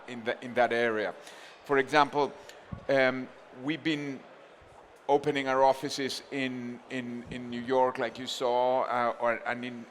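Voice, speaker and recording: A man's voice: medium pitch, a bit bright, slight background noise. A man from Argentina speaking on a conference panel, thinking aloud with frequent restarts and fillers.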